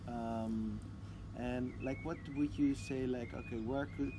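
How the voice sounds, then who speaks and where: A man's voice: mid-range voice, fairly even intonation, slow, noisy background. A man interviewing a guest, asking his questions hesitantly with many fillers.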